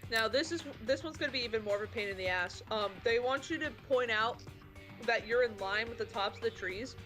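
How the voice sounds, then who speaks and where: A woman's voice: fairly high pitch, fairly quick, fairly bright tone, soft background music. A woman co-hosting a game playthrough, explaining and guiding in a casual, conversational style. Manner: explanatory, coaching. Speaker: let's-play co-host guiding the player. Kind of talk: casual chat, game commentary.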